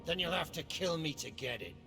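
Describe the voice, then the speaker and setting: An actor playing a tough, laconic male bounty hunter in a gritty sci-fi game, terse and gruff. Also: on the high side, lively intonation, a little fast. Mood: defiant. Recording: long hall reverb under clear music.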